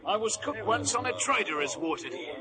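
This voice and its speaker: An actor playing a wily old ship's cook with a gravelly voice, fawning and menacing by turns, in an adventure drama. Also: male voice, high voice, lively intonation, fairly quick, a crowd in the background. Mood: ingratiating. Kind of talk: vintage adventure radio drama acting.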